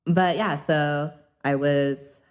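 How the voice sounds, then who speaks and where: A woman's voice: low-pitched, fairly even intonation, drawn-out words, slight background noise. A young woman from Hong Kong with an American accent, chatting as an interview guest, with lots of fillers and easy laughs. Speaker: interview guest, pole dance teacher. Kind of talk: casual interview.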